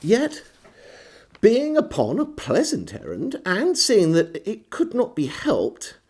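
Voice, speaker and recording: A man's voice: high voice, wildly swooping pitch, slow pace, darkish tone, breathy edge. A man reading an old diary aloud as a storyteller, with theatrical, varied phrasing. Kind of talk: expressive reading of a 17th-century diary.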